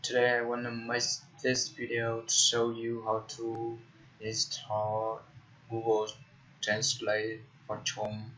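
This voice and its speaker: Halting Vietnamese-accented man, slow and flat. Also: medium pitch. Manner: halting, frequent "uh" fillers and restarts. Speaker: tech tutorial host. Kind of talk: casual screen-recorded tutorial. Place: indoor room at a computer. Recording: dull lo-fi computer mic with faint noise.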